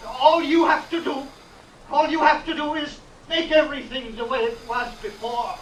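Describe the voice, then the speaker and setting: Very high, desperate grandiose rich man. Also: normal speed. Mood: pleading, grandiose. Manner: pompous, pleading. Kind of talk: old-time radio drama acting. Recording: echoing, distant, faintly noisy vintage radio broadcast.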